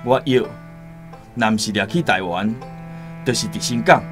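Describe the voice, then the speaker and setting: A man's voice: on the low side, normal speed, close to an everyday voice. An actor playing an earnest islander who pleads to rescue his kin, in a Taiwanese accent, as radio drama dialogue. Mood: troubled, determined. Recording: music behind the voice. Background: plucked-string music.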